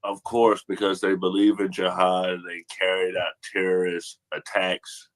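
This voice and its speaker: Male panelist with a lowish voice, careful and hesitant when answering. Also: little pitch movement, over a noisy crowd. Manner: measured, hesitant. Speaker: panel guest. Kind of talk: panel discussion.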